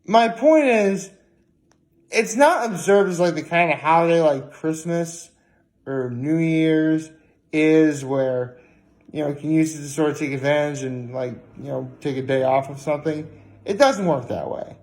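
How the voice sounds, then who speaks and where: A tired man giving a casual, rambling vlog monologue, haltingly circling back and repeating himself. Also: a little high, darkish tone. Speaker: daily vlogger.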